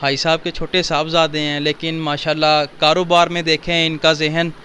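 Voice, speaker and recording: A man's voice: a little high, monotone, bright, forceful tone, slight background noise. A man, interviewing a young shop owner in a cloth warehouse on a handheld mic in an upbeat, promotional manner. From Pakistan. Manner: upbeat, promotional, asks quick questions. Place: indoors, small cloth warehouse.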